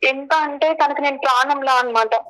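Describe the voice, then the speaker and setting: A woman's voice: high voice, moderate pace, bright, forceful tone, poor audio. A woman, earnestly explaining a personal problem and questioning as a caller. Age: 20s.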